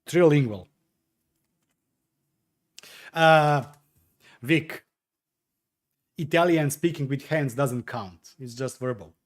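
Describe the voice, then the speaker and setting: A man's voice: medium pitch, slow pace, long pauses. Chatty man streaming from home. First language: Croatian. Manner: hesitant, chatty, many fillers and restarts while tinkering. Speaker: livestream host. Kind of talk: casual livestream chat, answering viewer comments.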